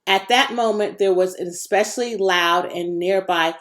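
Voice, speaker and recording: A woman reads a children's novel aloud in a mid-pitched voice. Her delivery is dramatic and varied, leaning into key words as an audiobook storyteller does. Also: normal speed, a bit bright. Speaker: audiobook reader.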